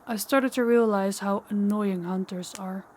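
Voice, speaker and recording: A woman plays an ancient female vampire in an intimate audio roleplay. She is powerful, teasing and menacing, with slow, deliberate phrasing at a medium pitch. There is some background noise on the recording. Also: fairly even intonation, darkish tone, lightly acted. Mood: bitter, haunted. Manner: slow, deliberate, taunting, dropping into whispers.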